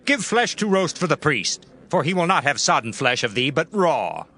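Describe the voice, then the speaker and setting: A bullying servant of a priest, brash and demanding, with a bright, sharp edge. The voice swings widely in pitch in this dramatized scripture reading. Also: male voice, very animated, normal speed, heavily acted. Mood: demanding, threatening. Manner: forceful, bullying.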